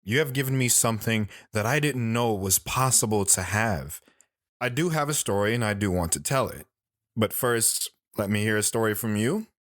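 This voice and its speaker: A man playing a sorrowful, philosophical lone wanderer in an audio drama, speaking earnestly and deliberately. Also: fairly low pitch, lively intonation, fairly bright tone, no room sound, clean studio sound. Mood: brooding.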